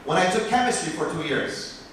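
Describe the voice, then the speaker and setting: American male biology professor, passionate lecture at a conference, fairly high voice, heard from afar in a reverberant hall, faint background hiss. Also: moderate pace. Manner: passionate, rising in pitch when animated. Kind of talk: conference talk. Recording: echoey hall from far off.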